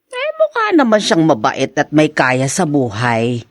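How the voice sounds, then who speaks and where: A woman's voice: low voice, huge pitch swings, measured pace, no room sound, heavily acted. An actress with a Filipino accent, playing a fond, talkative middle-aged mother in a radio drama. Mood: coaxing.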